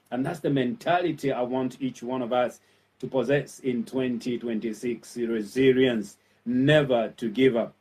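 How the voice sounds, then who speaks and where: A man with a Zambian accent speaks at a middle pitch, sounding a little far from the microphone. He delivers an earnest motivational message in a pastor's style. His phrasing is halting, full of filled pauses, and he often repeats key points to encourage the listener. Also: a little mellow. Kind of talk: motivational sermon-like talk.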